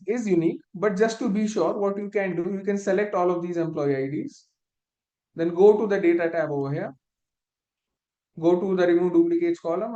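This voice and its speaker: High-voiced man teaching a spreadsheet class. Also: a little mellow, roomy sound, muffled recording. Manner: step-by-step, patient, restarts phrases. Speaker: instructor. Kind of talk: live online spreadsheet lesson.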